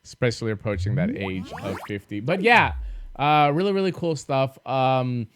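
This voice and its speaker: A man's voice: medium pitch, expressive, moderate pace, clean tone, no echo, soft background music. Greek-accented male co-host, dry sound. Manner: teasing, explanatory. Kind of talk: casual show chat.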